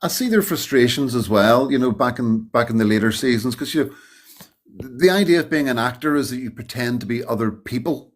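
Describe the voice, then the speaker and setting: A man's voice: mid-range voice, expressive, brisk. A man from the United Kingdom telling anecdotes as an interview guest in a casual, colloquial, blokey, admiring way. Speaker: interview guest, film extra.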